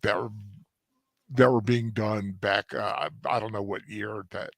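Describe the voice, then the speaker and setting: A man co-hosting a casual review chat, tired and sore, speaking haltingly with many restarts. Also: on the low side, steady tone, creaky, no echo, clean studio sound. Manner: halting, many restarts and fillers, laughs easily. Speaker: co-host.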